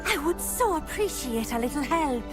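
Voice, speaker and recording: A woman's voice: sits high, sing-song, moderate pace, faint music behind. An actress playing a fretful, theatrical older witch in a video game, plaintive and dramatic. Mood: anxious, pleading. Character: anxious, dramatic older witch fearing an omen of death. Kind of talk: video game character acting.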